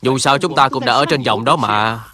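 A man voicing a wealthy middle-aged gentleman in a cartoon, greedy and evasive, blustery and ingratiating. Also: mid-range voice, normal speed, crowd noise, close to an everyday voice. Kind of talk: Vietnamese-dubbed moral cartoon.

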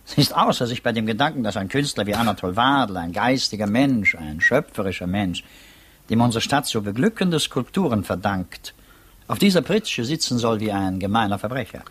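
An actor in a 1950s German radio drama playing a courteous, puzzled public prosecutor who probes politely and formally. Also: male voice, lowish voice, animated, normal speed, fairly bright tone, breathy edge. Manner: formal, polite, hesitant probing.

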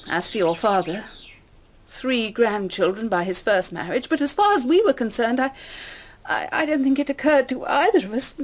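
High, husky middle-aged British woman acted by a woman. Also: moderate pace, close to an everyday voice. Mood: fragile, tearful. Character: middle-aged stepmother, fussing, brave but emotionally fragile. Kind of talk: British radio drama acting.

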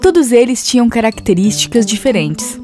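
A woman's voice: on the high side, animated, moderate pace. A woman narrating a scripted fable for children as a storyteller. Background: music.

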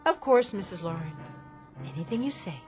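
Woman acting as young maid, polite, eager to please, medium pitch, radio play with faint music. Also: animated, moderate pace, darkish tone, close to an everyday voice. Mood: eager, obliging. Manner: deferential, formal. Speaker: actress in radio drama.

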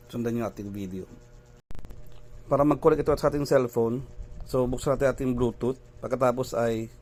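A man walks viewers through a gadget setup in a casual how-to video. He talks unhurriedly, step by step, often pausing on fillers as he goes. His pitch sits in an ordinary middle range. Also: darkish tone. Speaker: tech vlogger.

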